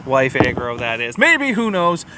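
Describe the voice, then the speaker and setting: A man's voice: medium pitch, sing-song, unhurried, heavy background noise. A man hosting a podcast in casual, rambling, joking banter. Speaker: podcast host.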